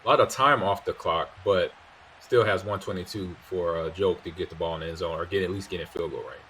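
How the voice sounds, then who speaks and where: A middle-aged man giving steady, somewhat rambling analysis as color commentator on a football video game tournament. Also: deep voice, brisk. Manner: steady, explanatory, a bit rambling. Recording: faint background noise.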